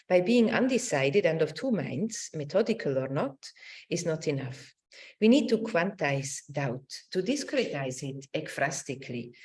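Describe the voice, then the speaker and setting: A woman's voice: on the low side, darkish tone. Woman lecturer with widely swinging intonation. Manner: halting, searching delivery with repeated words and tag "no?". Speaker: academic lecturer. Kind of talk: academic lecture, partly reading from notes.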